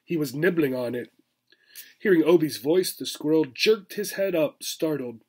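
Male teacher-reader, slightly high pitch, narrating a children's story expressively. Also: expressive, normal speed, warm tone, slightly rough. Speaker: teacher reading a children's book aloud. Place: small furnished room indoors.

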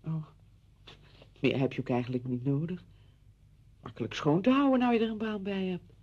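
A woman's voice: on the low side, normal speed, muffled recording, lightly acted. An actress playing a chatty, apologetic married woman visiting a friend in a radio drama, effusive, rambling and flustered.

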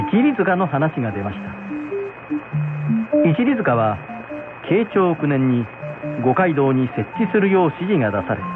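A middle-aged man narrating a scripted folk tale as storyteller and host. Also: fairly high pitch. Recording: muffled phone-like sound, background music.

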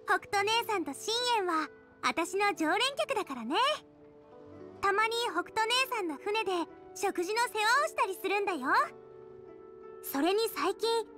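A woman's voice: very high voice, sing-song, measured pace, long pauses, bright, forceful tone, soft background music, full character voice. A woman voicing a cheerful, eager teenage girl chef in an anime game, bubbly and friendly. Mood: friendly, excited. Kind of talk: Japanese anime game dub.

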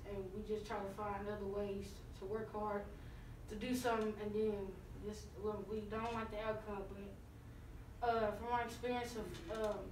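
A young woman basketball player answering press questions in a halting, subdued, casual way. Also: mid-range voice. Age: teens. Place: small room at an arena. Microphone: far camera. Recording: roomy, distant, noisy.

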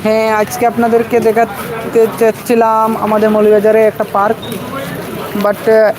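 A man hosting a casual live vlog outdoors, repeating his phrases in a halting way as he addresses viewers. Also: sits high, monotone, poor audio. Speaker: livestream host. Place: outdoors in a village market. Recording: outdoor street with crowd and traffic noise.

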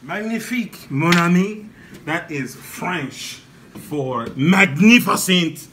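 A man's voice: high-pitched, animated, slow pace, far from the mic. A man in his thirties chatting casually and playfully as a dad in a family vlog. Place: home living and dining room. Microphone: handheld phone camera at some distance. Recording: roomy, noisy phone recording, off mic.

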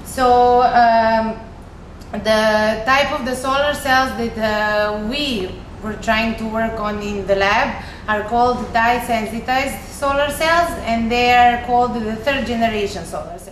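A young woman with a Serbian accent and a fairly high voice explains science informally in an echoey room. She speaks simply and a bit hesitantly, like a researcher walking someone through her work, with faint noise in the recording. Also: a little slow. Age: young adult. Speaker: chemistry researcher, recent graduate.

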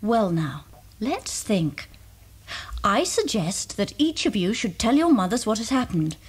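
Sensible, lively English mother. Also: mid-range voice, measured pace, breathy edge, exaggerated voice. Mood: helpful, organising. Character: kind, sensible adult mother of schoolchildren. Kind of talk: children's audio drama acting.

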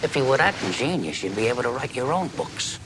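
An actor playing a dogged, deferential police detective, speaking in halting, folksy, musing phrases. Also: male voice, medium pitch, big pitch swings. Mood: animated, musing. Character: dogged, deferential, rumpled male police detective closing in on a killer. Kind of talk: dramatic dialogue acting. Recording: noticeable background noise.

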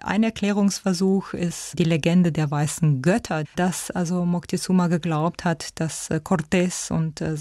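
A woman speaks as an expert, explaining in interview style. Her voice is mellow and the recording is very dry. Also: fairly low pitch, no echo.

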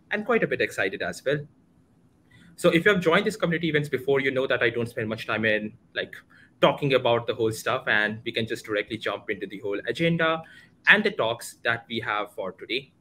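Young man, Indian accent, bright voice, casual event host, headset on a video call, slight background noise. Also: medium pitch. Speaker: host of a community meetup. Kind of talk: casual livestream event introduction. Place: home room, indoors, video call.

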